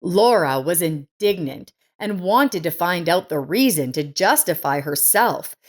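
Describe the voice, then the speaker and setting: A woman's voice: lowish voice, animated, a little slow. A woman reading a scripted life story as its narrator.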